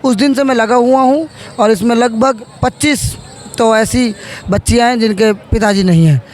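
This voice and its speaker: High-pitched man in his thirties. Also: normal speed, a little hiss or hum. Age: 30s. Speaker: politician, interviewee. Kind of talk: interview answers.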